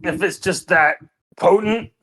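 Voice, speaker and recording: A woman's voice: on the low side, measured pace, fairly bright tone, husky. A woman chatting casually as a player in a tabletop roleplaying game. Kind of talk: casual chat while roleplaying.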